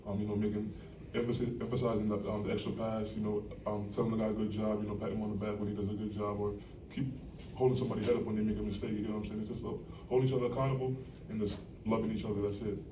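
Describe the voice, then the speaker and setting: Low, fast-talking young male athlete. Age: young adult. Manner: earnest, rambling with many fillers. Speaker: college basketball player. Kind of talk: postgame press conference answers. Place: press conference room. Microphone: table mic, recorded from across the room. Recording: echoey room, distant mic, steady noise.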